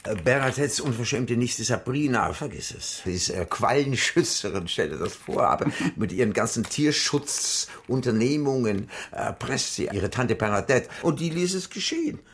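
A man's voice: mid-range voice, animated, normal speed, few pauses, a bit bright, a little hiss or hum. An actor playing a frail, dying old man who speaks in a formal, old-fashioned, fawning way in a radio play. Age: older.

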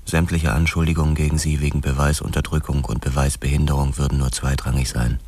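An actor playing a hard-boiled, world-weary police detective narrating a noir radio play in a grim, measured, terse way. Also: male voice, extremely low pitch, darkish tone, slight background noise.

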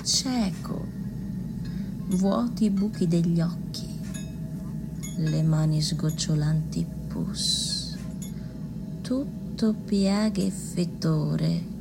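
A woman playing a grave, ominous narrator in radio theatre, solemn and deliberate with a gravelly voice. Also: fairly low pitch, full character voice. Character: grave, ominous female narrator of a plague tale. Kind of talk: dramatic scripted narration. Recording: clearly noisy ambience.

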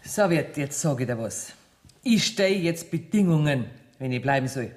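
An actress playing a shrewd, commanding businesswoman in a radio play, speaking with a Bavarian accent in a brisk, familiar manner. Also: sits low, lively intonation, darkish tone. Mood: firm, demanding. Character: bossy, shrewd Bavarian businesswoman handling money with folksy confidence.

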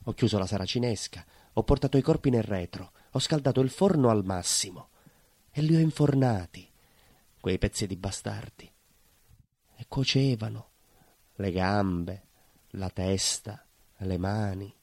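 Brooding baker, dry close sound. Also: male voice, lowish voice, warm tone, dry recording. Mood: grim, brooding. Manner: brooding, dramatic pauses and repetitions. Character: bitter southern Italian baker confessing to vengeful murder. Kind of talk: theatrical monologue, intimate confessional storytelling.

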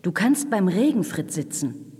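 An actress playing a calm, dignified night fairy who hosts with gentle authority in a children's radio play. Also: female voice, fairly low pitch, measured pace, slightly rough, in a large hall, heavily acted. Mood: calmly directing. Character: gracious, calm night fairy, patient queenly hostess.

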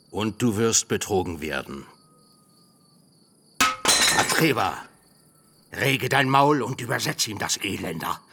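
An actor playing a stern, hard-bargaining middle-aged uncle and master, pedantic and scornful, in a literary radio drama. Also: male voice, mid-range voice, bright, forceful tone, slight background noise. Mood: threatening, abusive. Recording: music behind the voice.

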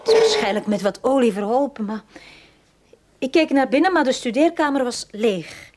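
An actress playing a haunted, uneasy widow in a radio drama, husky, deliberate and defensive. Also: female voice, high-pitched, very animated, very noisy. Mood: frightened, hushed. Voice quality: husky, breathy.

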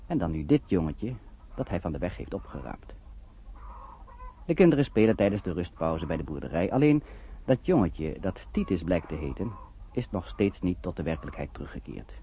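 A man's voice: deep voice, big pitch swings, moderate pace, a little hiss or hum. A man narrating a radio play in a dramatic, scripted storytelling style. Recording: muffled, phone-like old radio recording.

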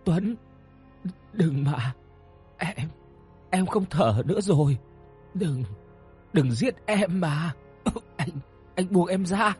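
A man's voice: medium pitch, a little slow, warm tone, breathy edge, soft background music. A man voicing a frightened young woman who pleads and stammers in a horror audio story. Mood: choking, terrified. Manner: pleading, stammering, repeating words. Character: frightened pleading young woman haunted by her dead lover.

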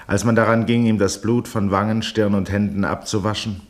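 An actor as the grave, measured narrator of a 1960s German radio drama, reading literary prose. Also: male voice, fairly low pitch, steady tone, lightly acted.

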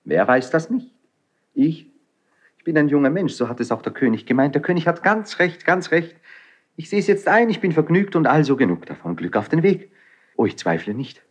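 An actor playing an impetuous, proud young crown prince in a classical stage drama, with theatrical, declamatory delivery. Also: male voice, on the high side, very animated, brisk. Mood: mocking, bitter. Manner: theatrical, declamatory, shifting between muted irony and outburst.

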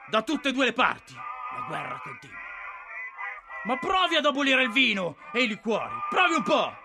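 A high-pitched, embittered drunken officer. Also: male voice, full character voice. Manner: declamatory, rhetorical, emphatic. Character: drunken, embittered career army officer, bitterly philosophical. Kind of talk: theatrical radio drama monologue.

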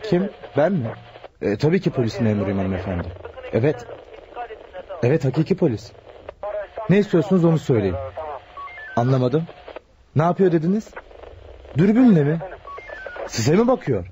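An actor playing a brusque, easily irritated desk police officer in a radio drama, talking in a curt official way. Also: male voice, on the high side, sing-song, normal speed, long pauses. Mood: impatient. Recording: muffled old radio broadcast, crowd murmur.

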